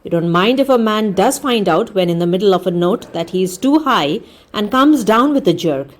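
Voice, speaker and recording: A woman storyteller giving a deliberate, expressive read-aloud narration of a comic story. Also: mid-range voice. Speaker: audiobook storyteller.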